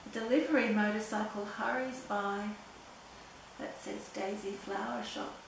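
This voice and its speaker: An older woman reading a children's picture book aloud in a deliberate, playful storytelling way. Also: mid-range voice, steady tone, vocal fry. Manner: simple, deliberate reading with playful sound words. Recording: very echoey room, distant mic, background noise.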